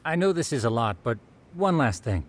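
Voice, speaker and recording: A man's voice: mid-range voice, very animated, moderate pace, a little hiss or hum, natural voice. An actor playing a polite, precise and eager male associate in an English video game dub, formal and explanatory. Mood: helpful.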